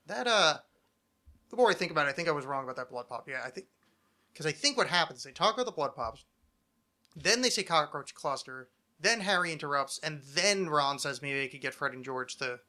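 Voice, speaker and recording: A man's voice: fairly high pitch, animated, a little fast, pushed and bright. A man chatting casually as a podcast host, hesitant and thinking aloud, often self-critical and swearing freely. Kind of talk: casual chat, answering trivia questions.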